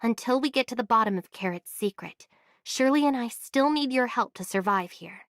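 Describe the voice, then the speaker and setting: Calm young adventurer, dry close sound. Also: female voice, a little high, moderate pace, no room sound. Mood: sincere, steady. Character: calm, curious young female adventurer, sincere and level-headed. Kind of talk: anime video game voice acting.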